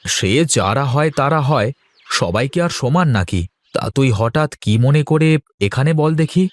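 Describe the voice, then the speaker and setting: An actor playing a confident, easygoing village husband in a cartoon folktale. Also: male voice, medium pitch, animated, normal speed, no echo, natural voice. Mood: casual, assured. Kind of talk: Bengali cartoon folktale dialogue.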